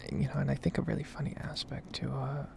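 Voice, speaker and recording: A woman softly whispering a casual, rambling ASMR commentary over a game. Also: deep voice, steady tone, fast talker, creaky, background noise. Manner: soft-spoken, rambling, casual asides. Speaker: gaming video creator.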